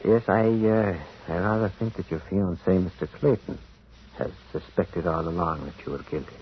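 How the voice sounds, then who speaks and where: Casting: compassionate clergyman sleuth, lowish husky male voice, deliberate and hesitant, period radio drama on a muffled recording. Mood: quietly knowing. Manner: hesitant, deliberate, quietly moralizing. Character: gentle, shrewd, compassionate priest detective. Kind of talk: 1940s American radio drama acting. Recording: muffled vintage radio broadcast.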